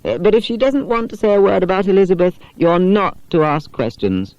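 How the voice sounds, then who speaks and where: A man voicing a kindly, practical mother in a storybook, brisk and instructive. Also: high voice, expressive, darkish tone, no room sound, dull audio, voice pushed up.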